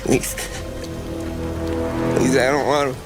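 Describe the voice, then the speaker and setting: An actor playing a terrified street thug in a crime game, begging in a halting, creaky voice. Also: male voice, mid-range voice, flat delivery, over loud music, lightly acted. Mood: terrified pleading. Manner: halting, pleading. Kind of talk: video game crime drama acting.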